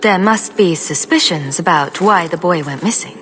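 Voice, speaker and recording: A confident sellsword heroine played by a woman in a fantasy game. Her voice is on the low side, and she speaks directly and with composure, probing for answers. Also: moderate pace, fairly bright tone, lightly acted. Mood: cool, probing. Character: self-assured, direct female adventurer for hire. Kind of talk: video game dialogue.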